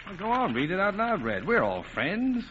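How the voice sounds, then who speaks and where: An actor playing a wry, suave gentleman in old-time radio drama, with a droll, teasing, urbane manner. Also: high voice, huge pitch swings, normal speed, a little hiss or hum, full character voice. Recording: muffled vintage radio broadcast recording.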